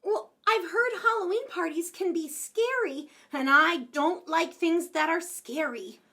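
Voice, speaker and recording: A woman's voice: very high voice, unhurried, pushed and bright, full character voice. A woman voicing a timid, nervous little woodland animal in a children's puppet show, shy and hesitant.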